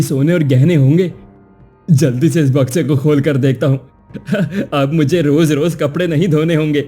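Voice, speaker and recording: A man's voice: a little high, warm tone, husky. A man playing a poor, weary, greedy washerman who exclaims and pleads in a cartoon story. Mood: gleeful. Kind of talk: Hindi cartoon moral story acting.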